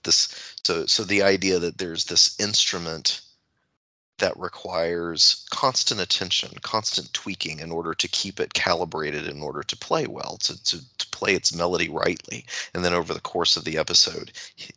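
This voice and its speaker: A man in a reflective literary discussion, halting and searching as he thinks aloud and circles back over phrases. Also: deep voice, bright, forceful tone, no echo, dull audio. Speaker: podcast panelist.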